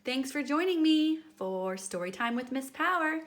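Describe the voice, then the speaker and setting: A woman's voice: sits high, animated, normal speed. A woman hosting a children's story time, speaking to young listeners in a teacherly way with prompting questions. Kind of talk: read-aloud addressed to young children.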